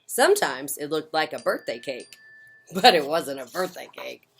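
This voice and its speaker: Low-voiced woman, very animated storyteller. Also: normal speed, gravelly, breathy edge. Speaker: children's storyteller. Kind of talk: animated picture-book read-aloud with casual asides.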